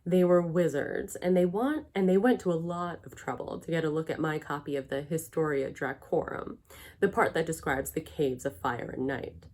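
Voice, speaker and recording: Woman reading Kazul, a calm, dry female dragon. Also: low voice, normal speed. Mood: matter-of-fact.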